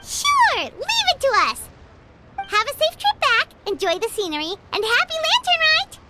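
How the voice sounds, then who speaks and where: A woman's voice: very high-pitched, moderate pace, very noisy, exaggerated voice. A woman voicing a small, childlike, cheeky and talkative fairy companion in a video game. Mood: cheerful. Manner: refers to self in third person, excitable, teasing. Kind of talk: English video game dub acting. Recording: voice over loud background music.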